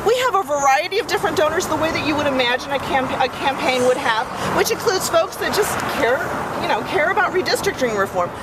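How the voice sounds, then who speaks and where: A woman answers reporters at an outdoor press conference, her voice set high. She restates each question and stumbles through fillers and restarts as she talks. Heavy traffic noise and a loud crowd press in around her. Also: animated, quick pace, hardly any pauses. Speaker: advocacy group spokesperson.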